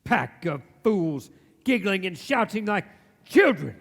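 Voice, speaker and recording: Radio play old miser, acted by a man: very high voice, slow halting phrases, melodramatic, music behind. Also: very animated, heavily acted. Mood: scornful. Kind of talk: live stage radio play acting. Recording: live stage, music underneath.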